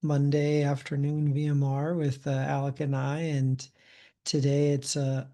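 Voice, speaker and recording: A man co-hosting a medical case conference, opening the session in a relaxed way with many filler pauses over a video call. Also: fairly high pitch. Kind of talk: casual show opening.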